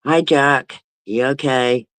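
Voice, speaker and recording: A man's voice: mid-range voice, slow pace, fairly bright tone, smooth, no room sound. A man from England with a British accent, casually chatting with viewers on a late-night phone stream because he can't sleep. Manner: chatty, greets viewers by name. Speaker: livestream host.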